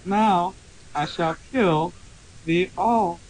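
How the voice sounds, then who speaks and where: A man voicing a grandiose evil dark lord villain, pompous and proclaiming in a mock-dramatic reading. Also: high voice, extremely slow, heavy background noise. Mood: gloating menace.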